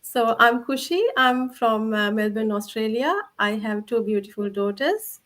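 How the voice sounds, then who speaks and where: Woman from Sri Lanka; higher voice; polite, slightly nervous first-timer. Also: a little slow, fairly bright tone. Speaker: panelist. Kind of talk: live online panel chat.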